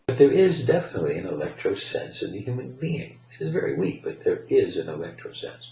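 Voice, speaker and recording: Guy lecturing, kinda far from the mic and roomy, very up and down pitch, emphatic declarations. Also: big pitch swings, brisk, creaky, soft background music. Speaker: presenter. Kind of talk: lecturing commentary. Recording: echoey, distant, dull with faint noise.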